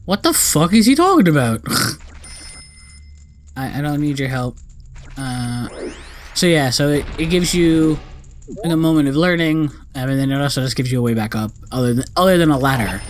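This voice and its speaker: A man's voice: fairly high pitch, lively intonation, normal speed, pauses often, slight background noise. A man giving casual, rambling, sweary let's play commentary on a video game.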